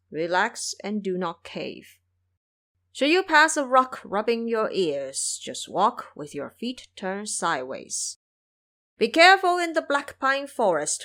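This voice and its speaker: Serene old male monk sage, measured chanting delivery, cryptic, swooping pitch, audio drama played by a woman. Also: a bit bright.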